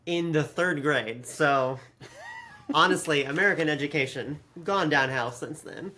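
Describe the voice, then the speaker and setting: Bright, slightly high-voiced sardonic man. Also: unhurried. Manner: animated, slangy, acts out imagined reactions. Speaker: podcast host. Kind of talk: casual chat with sardonic commentary.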